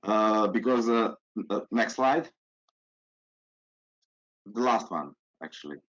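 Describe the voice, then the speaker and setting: Man, halting presenter with a mid-pitched voice, heard over a muffled video call line. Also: lively intonation, a bit bright. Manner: halting, many restarts. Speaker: webinar presenter. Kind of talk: webinar presentation. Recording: dull lo-fi video call audio.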